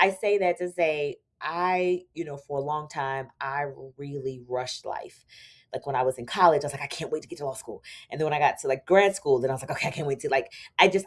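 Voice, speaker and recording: A woman in her forties, a podcast guest, chatting casually and telling stories with lively quoted dialogue and frequent laughs. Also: lowish voice. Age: 40s. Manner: animated storytelling, acts out quoted dialogue; laughs often. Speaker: podcast guest, attorney turned entrepreneur. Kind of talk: casual interview chat.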